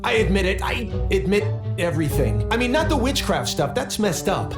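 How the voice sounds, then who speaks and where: A man's voice: high voice, sing-song, moderate pace, echoey room, over music. A man voicing an indignant rich young man in a video game, protesting in an earnest, flustered way. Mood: confessing. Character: indignant young rich developer's son posing as rancher.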